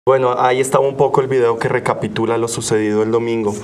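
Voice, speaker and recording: A man with a Mexican accent hosting and moderating a panel discussion, measured and thinking aloud as he frames questions. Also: medium pitch, little pitch movement. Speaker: talk show host and moderator. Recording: faint background noise with room ambience.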